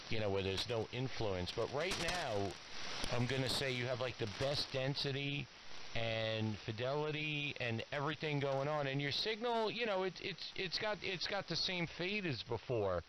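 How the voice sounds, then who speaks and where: A man's voice: medium pitch, animated, moderate pace. Chatty man on hissy AM radio. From New York, USA. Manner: chatty, rambling. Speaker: amateur radio operator. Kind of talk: casual ham radio exchange. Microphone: transmitted over AM shortwave radio. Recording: hissy AM shortwave radio transmission.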